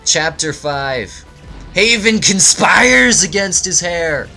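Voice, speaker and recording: Man, let's play storyteller, bright voice, slow halting mock-dramatic narration, music behind. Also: sits high, animated, creaky. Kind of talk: improvised mock fairy-tale narration.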